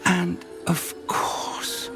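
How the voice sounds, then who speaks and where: An actor playing a kindly older male magic professor in a video game, speaking earnestly and with soft wonder. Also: extremely slow. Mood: awed. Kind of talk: video game cutscene acting. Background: game music.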